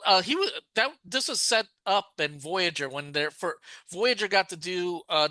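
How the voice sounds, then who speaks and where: Bright, clear-voiced man talking casually. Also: a little high, no room sound. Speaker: podcast co-host. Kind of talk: casual discussion and review.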